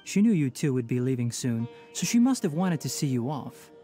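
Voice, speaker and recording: A man's voice: medium pitch, sing-song, brisk, mellow, soft background music, lightly acted. An actor playing a young male forest watcher in a video game, polite, articulate, composed and firm. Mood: calm. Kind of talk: video game cutscene voice acting.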